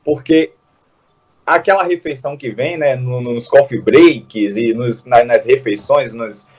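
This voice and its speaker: A man's voice: mid-range voice, unhurried. A man speaks earnestly as a guest on a talk show, explaining his activism in a casual conversational way. He often stumbles and restarts his phrases mid-sentence. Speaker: guest, food security activist.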